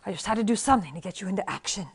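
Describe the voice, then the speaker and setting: A woman's voice: mid-range voice, animated, racing, husky. A woman voices a bold swordsman in an adventure storybook. He is urgent and insistent, a man used to giving orders to his companion. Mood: pleading. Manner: urgent, commanding.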